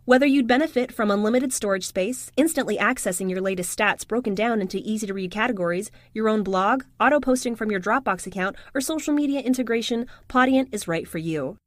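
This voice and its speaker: A woman's voice: mid-range voice, a little fast, few pauses, no room sound. A woman announcer reading a scripted promotional voice-over, close on the microphone. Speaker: advert announcer.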